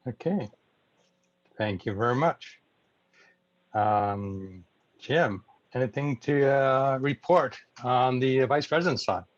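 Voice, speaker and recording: A man chairing an informal online club meeting in a halting, hesitant way over muffled video call audio. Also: medium pitch, no echo.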